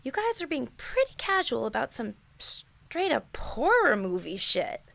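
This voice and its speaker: A young woman playing a skeptical, down-to-earth teenage high schooler in a fantasy audio drama, casual, hesitant and questioning. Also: very animated, a little slow, creaky, fairly bright tone, heavily acted, rough recording. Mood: skeptical, uneasy.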